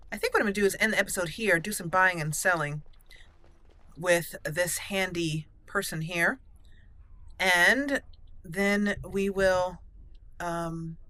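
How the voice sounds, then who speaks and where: Bright-voiced woman chatting through a game. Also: medium pitch. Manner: chatty, thinking aloud. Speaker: let's play host. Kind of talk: casual roleplay game commentary.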